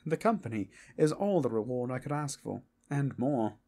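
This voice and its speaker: A man's voice: medium pitch, sing-song, moderate pace, mellow. Man playing a suave, lilting, teasing ageless vampire. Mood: teasing, amused. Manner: theatrical, old-fashioned, amused, playful. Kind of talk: fantasy audio roleplay acting.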